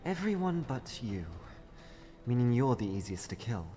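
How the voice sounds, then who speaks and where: A man's voice: mid-range voice, big pitch swings, moderate pace, mellow, lightly acted. A man playing a witty, unflappable female archaeologist adventurer in a comic dub, full of wry quips and muttered asides. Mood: taunting. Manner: wry, quipping, talks to self. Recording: music behind the voice. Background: clear music.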